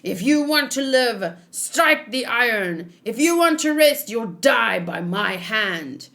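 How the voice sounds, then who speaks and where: A woman voicing a harsh, bullying overseer of forced laborers from a manga, barking drawn-out, menacing orders. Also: a little high, lively intonation, fairly bright tone, heavily acted. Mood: commanding, threatening. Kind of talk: manga read aloud.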